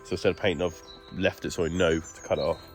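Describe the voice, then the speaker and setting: Low-voiced man talking through a build. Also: steady tone, quick pace, soft background music. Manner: chatty, explaining each step. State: congested, stuffy nose. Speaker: DIY vlogger. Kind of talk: casual building update. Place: garden outdoors. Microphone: phone camera.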